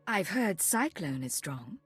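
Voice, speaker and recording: A woman's voice: fairly low pitch, very animated, slow, slightly rough, no room sound, faint music behind, heavily acted. A woman voicing a confident, deadly imperial agent in a video game, composed and businesslike. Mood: guarded.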